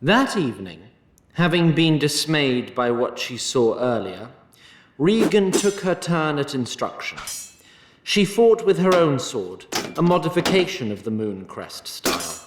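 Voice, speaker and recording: A man narrating a scripted fantasy story in an expressive storytelling manner, with a roomy sound on his voice. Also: a little high, a little slow, background noise. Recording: roomy echo on voice.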